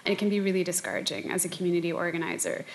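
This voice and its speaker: A woman with a fairly low voice speaks on a discussion panel. She is articulate and explanatory, setting out points one after another in an unscripted way. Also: little pitch movement, racing. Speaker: panelist, community organizer.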